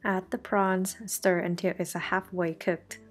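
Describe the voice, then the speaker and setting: A woman's voice: fairly low pitch, flat delivery, slow pace, over loud music. A woman, the host of a cooking channel, talking through a recipe step by step in a friendly, reassuring voice-over. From Thailand.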